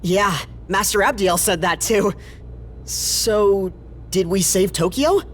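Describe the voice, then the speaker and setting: A young woman voicing an eager, cheerful companion who talks excitedly and asks many questions. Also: medium pitch, takes it slowly, slight background noise, natural voice.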